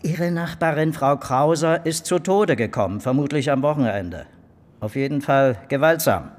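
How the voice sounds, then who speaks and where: Actor as sardonic male detective, lively and reverberant. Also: fairly high pitch, moderate pace, lightly acted. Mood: probing, needling. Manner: dry, mocking, clipped. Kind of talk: radio crime drama acting.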